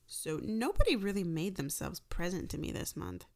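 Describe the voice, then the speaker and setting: A woman with a somewhat low voice chats informally as a podcast host. She rambles, jokes and pokes fun at herself, as if talking off the cuff. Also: big pitch swings, normal speed, a little mellow. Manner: joking, self-deprecating, informal. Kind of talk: casual, rambling podcast outro.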